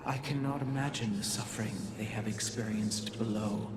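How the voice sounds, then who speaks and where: An actor playing a solemn, haunted, devout alien warrior in a science fiction game, speaking formally and gravely. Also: medium pitch, moderate pace, background noise, lightly acted. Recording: echoing, with cinematic score behind.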